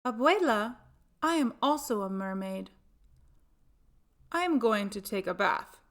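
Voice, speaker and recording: A woman's voice: on the high side, expressive, unhurried, fairly bright tone. A woman voicing a wide-eyed, dreamy little boy in a storybook, eager and wondering. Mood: earnest.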